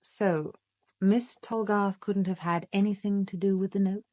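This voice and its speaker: An actress playing a tactful, probing female private detective with a British accent, speaking in a measured, polite, quietly insistent way. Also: medium pitch, steady tone, no room sound, lightly acted. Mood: questioning. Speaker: actress in an audio drama. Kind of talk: understated British radio drama acting.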